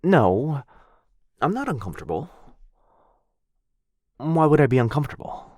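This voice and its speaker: A man playing a shy, affectionate young dog-person roommate in a boyfriend ASMR roleplay, speaking in a soft, halting, flustered way with a breathy, husky voice. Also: mid-range voice, very animated, no echo, clean studio sound. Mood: nervous, flustered.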